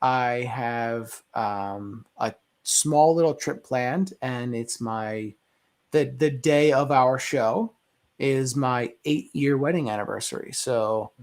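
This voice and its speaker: A man's voice: medium pitch, takes it slowly. A man co-hosting a movie discussion show over a video call in casual conversation. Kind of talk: casual chat.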